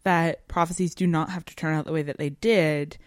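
A woman's voice: low voice, fairly even intonation, a little fast, no room sound, studio quality. A woman co-hosting a podcast, talking through a literary analysis in an emphatic, reflective way with academic phrasing. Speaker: podcast co-host. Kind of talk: analytical literary discussion, conversational.

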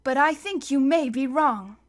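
A woman's voice: high-pitched, measured pace, voice pushed up. A woman voicing a polite but defiant, clever orphan girl in an audiobook, composed and precise. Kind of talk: audiobook character voice.

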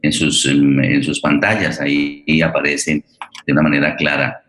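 A low-voiced man hosts a live program off the cuff. He speaks haltingly, often searching for the next word, and the sound is roomy and echoing. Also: steady tone, fairly bright tone, soft background music. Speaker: program host. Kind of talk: live unscripted hosting of a radio theatre program.

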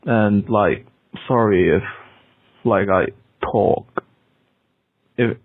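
A man whose first language is Indonesian leaves a halting, rambling voicemail full of restarts. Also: lowish voice, breathy edge, dry recording. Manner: halting, many restarts and fillers. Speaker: caller leaving a voicemail. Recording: tinny phone line.

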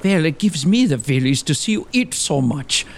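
A man playing a fussy, excitable young lawyer in a comic audio drama, with a fretful, theatrical delivery. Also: a little high, normal speed, vocal fry, a little hiss or hum. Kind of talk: gothic comedy audio drama dialogue.

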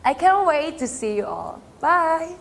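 Slow, rehearsed Indonesian-accented woman. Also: very high-pitched, sing-song, creaky, a bit bright, a little hiss or hum. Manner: slow, careful, rehearsed delivery. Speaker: pageant contestant giving a video greeting. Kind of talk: scripted formal greeting.

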